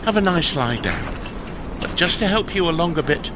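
A man's voice: fairly high pitch, big pitch swings, normal speed. A man playing a deluded, awkwardly cheerful would-be rescuer in a sci-fi audio comedy, blandly upbeat. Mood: upbeat, reassuring. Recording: clear outdoor ambient noise behind voice.

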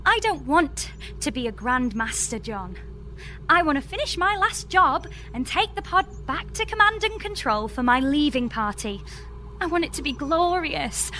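A woman's voice: sits high, very animated, normal speed, sharp tone, a little hiss or hum, exaggerated voice. A woman playing an exasperated, pleading space engineer with a dry, emphatic manner in a comedy audio drama. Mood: dry exasperation.

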